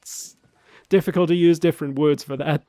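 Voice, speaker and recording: Higher-pitched man explaining casually. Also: steady tone, brisk, dark tone, no room sound. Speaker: co-commentator explaining German game lines. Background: video game music and voice clips.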